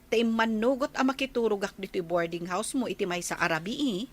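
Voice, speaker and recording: A woman playing a lively older sister in an Ilocano radio comedy drama, with a Filipino accent. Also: mid-range voice, fairly quick, close to an everyday voice. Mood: conversational.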